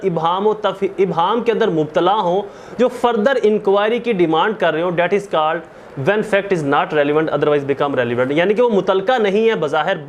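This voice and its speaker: High-pitched Pakistani man lecturing, noisy recording. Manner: didactic, asks and answers own questions, repeats points for emphasis; code-switches into English legal terms. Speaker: law lecturer. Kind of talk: explanatory lecture.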